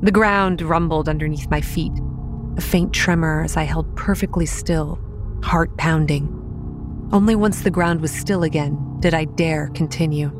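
A young woman playing a wry campground manager, telling a horror story in a measured, scripted first-person style. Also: sits low, close to an everyday voice. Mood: grave. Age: young adult. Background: music.

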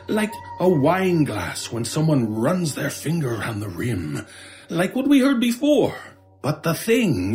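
An actor playing a frightened, haunted shopkeeper in a radio horror drama, halting and stammering in distress. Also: male voice, fairly high pitch, darkish tone. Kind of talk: old-time radio horror drama acting.

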